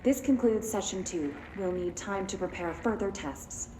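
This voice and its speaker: An actress playing a detached female researcher in a game, dictating a formal test log, clinical, deadpan and methodical. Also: medium pitch, moderate pace, lightly acted. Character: detached female lab researcher logging an experiment. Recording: echoey, noisy laboratory recording.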